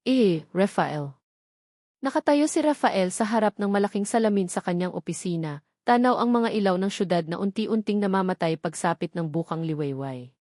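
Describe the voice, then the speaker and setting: A woman's voice: mid-range voice, expressive, fairly quick, darkish tone, no room sound, studio quality. A woman narrating a romantic drama story in a scripted, dramatic storytelling style.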